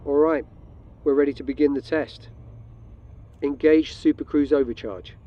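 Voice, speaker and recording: A man's voice: a little high, fairly even intonation, moderate pace, highs cut off. A man hosting a game demo, delivering scripted narration and role-play commands as a spaceship commander. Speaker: video host and game commander.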